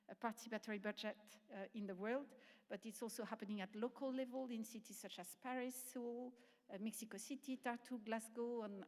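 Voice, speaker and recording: A woman answering a question on a conference panel in a measured way, with frequent fillers and clipped restarts. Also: on the high side. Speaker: panelist from an international policy organisation. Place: conference centre session room. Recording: long reverb of a large hall.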